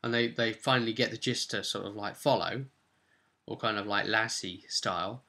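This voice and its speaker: A man's voice: on the low side, fairly even intonation, normal speed, gravelly, bright, forceful tone. A man speaking as a game master, giving a casual, rambling, unscripted recap of a tabletop role-playing session with enthusiastic asides.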